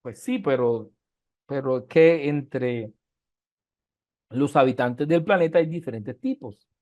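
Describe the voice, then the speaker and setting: A man's voice: mid-range voice, takes it slowly, darkish tone, dull audio. A man from Colombia, teaching a research methods class online and explaining through everyday comparisons and rhetorical questions. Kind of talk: online lecture.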